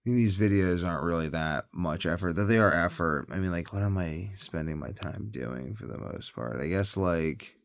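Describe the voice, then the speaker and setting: Deep-voiced, tired man rambling casually. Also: moderate pace, gravelly, few pauses, no echo, clean studio sound. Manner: hesitant, thinking aloud, often trailing off. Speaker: health vlogger.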